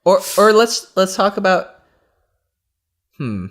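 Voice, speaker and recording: A man's voice: high voice, very animated, slow pace, a bit bright. Laid-back man in casual gaming chat. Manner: rambling, laughs often, many fillers. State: stuffy nose. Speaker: podcast co-host.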